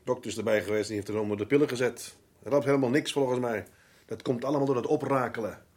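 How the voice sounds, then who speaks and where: An actor plays a coarse middle-aged father in a radio play, a man with an average-pitched voice. He is curt, blunt and quick to blame. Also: a little mellow, natural voice. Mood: gruff, dismissive. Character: gruff, blaming, coarse-mouthed husband and father. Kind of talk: Dutch radio play acting.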